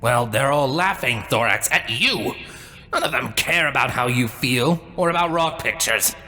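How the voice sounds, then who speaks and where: A man's voice: medium pitch, animated, normal speed, pushed and bright. A man playing a scornful, blunt young older-brother changeling, an insect creature, in an audio drama with long reverb. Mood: scornful, embarrassed. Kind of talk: audio drama character acting.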